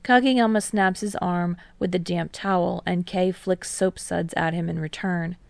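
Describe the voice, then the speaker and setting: A woman narrates a story in a fairly low voice with heavy vocal fry. The delivery is scripted audiobook reading, and the sound is dry with no room echo. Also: steady tone, measured pace.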